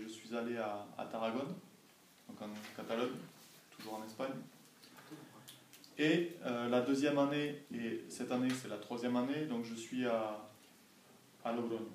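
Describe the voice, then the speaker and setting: A man's voice: mid-range voice, darkish tone. Young Frenchman in an echoey room. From France. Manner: didactic, careful, frequent checking questions. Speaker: language assistant being interviewed by students. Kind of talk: informal classroom interview answers. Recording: echoey classroom, distant mic, faint noise.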